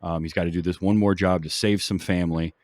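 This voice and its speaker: A man hosting a casual film discussion in a conversational, measured, agreeable way. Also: low voice, steady tone, darkish tone, dry recording, clean studio sound. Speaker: film podcast host.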